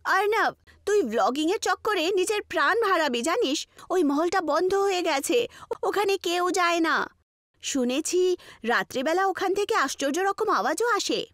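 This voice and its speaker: A woman's voice: extremely high pitch, expressive, moderate pace, a bit bright, dry recording, studio quality, voice pushed up. A woman voicing a frightened, scolding young college student who protests anxiously in an acted horror story. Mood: worried, pleading. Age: young adult.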